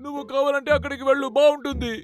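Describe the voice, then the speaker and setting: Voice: sits high, flat delivery, racing, crowd noise, close to an everyday voice. A voice actor playing a mischievous, teasing younger brother bear in a dubbed children's cartoon. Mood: pleading.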